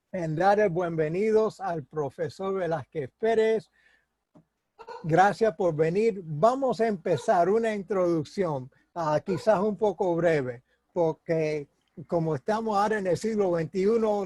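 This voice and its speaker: Hombre entrevistador de voz aguda. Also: male voice, sits high, warm tone, no room sound. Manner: reads a long guest biography, stumbling and joking at himself. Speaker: interviewer. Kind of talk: formal introduction and interview questions.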